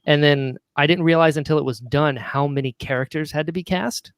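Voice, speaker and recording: A man's voice: fairly high pitch, brisk, smooth, no echo, clean studio sound. A man chatting casually as an interview guest, enthusiastic and talkative, often chuckling as he goes. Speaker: guest, audio drama writer and actor.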